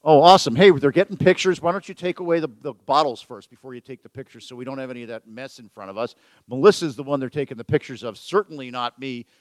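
A man's voice: medium pitch, fast, dry recording. A man hosting a business talk radio show, chatting casually with a guest and joking at his own expense. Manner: jokey, self-deprecating, chatty. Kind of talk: casual chat. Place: event venue with photographers.

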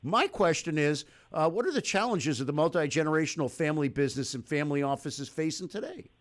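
A man hosts a live business talk show on the radio. He is upbeat and chatty with his guest. His voice sits quite high for a man. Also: expressive, fast.

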